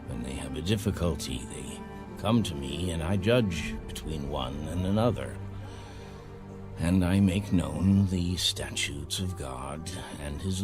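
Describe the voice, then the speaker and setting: An actor playing a grave prophet and leader in an audio Bible drama, speaking in a measured manner. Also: male voice, extremely low pitch, heavily acted. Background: music.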